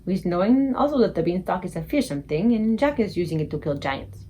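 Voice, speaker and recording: A woman's voice: lowish voice, sing-song, brisk, dark tone, a little hiss or hum. A woman voicing a kindly old male giant who whispers in quaint, broken grammar. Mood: hushed.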